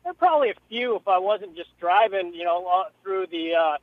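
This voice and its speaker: Male phone-in radio guest, high-pitched, rambling and halting, muffled call audio from a vehicle. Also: expressive, dry recording. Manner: rambling, halting, repeats words. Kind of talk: casual interview chat. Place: moving vehicle. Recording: dull phone call from a car.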